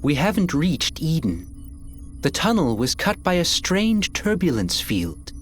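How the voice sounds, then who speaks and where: An expedition leader in a sci-fi game. She is composed and authoritative, with a widely varied intonation. Faint music plays behind her measured speech. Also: low-pitched, big pitch swings. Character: composed expedition leader taking charge of a crew. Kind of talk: video game cutscene acting.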